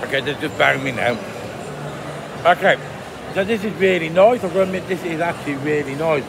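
A man in his fifties eating and reviewing food court dishes into a phone at arm's length, chatty and relaxed, pausing to murmur while he tastes. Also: fairly high pitch, gravelly, background noise. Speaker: food vlogger. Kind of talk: casual food review vlog. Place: indoor shopping mall food court under a glass roof. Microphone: phone held at arm's length, facing up. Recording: loud mall ambience behind the voice.

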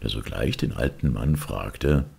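A man reading a fairy tale as narrator, expressive, with a gravelly, husky voice. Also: a bass voice, measured pace. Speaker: storyteller, narrator.

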